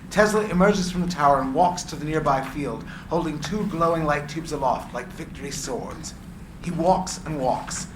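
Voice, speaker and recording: A man reading screenplay stage directions aloud as dramatic narration at a live reading. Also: on the high side. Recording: echoey room, clear background noise.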